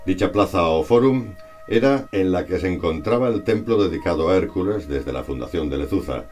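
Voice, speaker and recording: Very deep-voiced man narrating history. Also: animated, fairly quick, darkish tone. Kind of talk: scripted historical narration, read aloud. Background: music.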